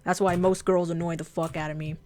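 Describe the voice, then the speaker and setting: A woman whose first language is Japanese, with a fairly deep voice, chatting casually and bluntly on a drawing livestream, swearing freely. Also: level tone, a little fast, a little mellow. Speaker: streamer and artist.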